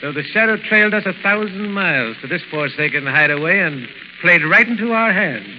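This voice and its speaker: A high-voiced, ruthless gang boss. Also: male voice, animated, a little fast. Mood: sneering, scornful. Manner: theatrical, sneering, commanding. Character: ruthless, greedy, desperate gang boss hiding out. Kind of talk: 1930s radio acting. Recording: muffled, hissy, reverberant 1930s radio recording.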